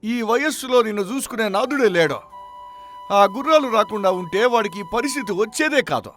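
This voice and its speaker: A man's voice: extremely high pitch, lively intonation, brisk, a bit bright, soft background music. A man playing an agitated, blunt village neighbour in a story, with rustic, exclamatory, lamenting speech. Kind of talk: moral-story dramatization.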